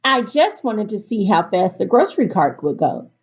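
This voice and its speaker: A woman teacher reading aloud to students in an expressive, instructive storytelling delivery. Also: mid-range voice, normal speed, slightly rough. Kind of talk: picture-book read-aloud for a virtual class. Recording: dull, lo-fi recording.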